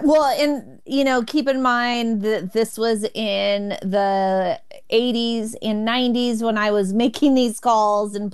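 A woman who stutters speaks openly as an interview guest, catching on first sounds before pushing through. Her voice is smooth and clear at a middle pitch. She tells her story with animated candor. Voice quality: stutters, with repeated opening sounds. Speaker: comedian and author, guest. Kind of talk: interview conversation.

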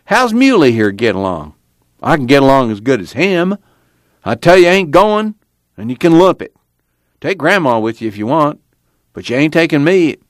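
A man's voice: fairly high pitch, very animated, moderate pace, long pauses, fairly bright tone, no room sound, studio quality, voice pushed up. A man voicing a cantankerous, defiant old farm grandfather in an audiobook, blunt and emphatic in folksy rural dialect. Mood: angry, defiant. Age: older.

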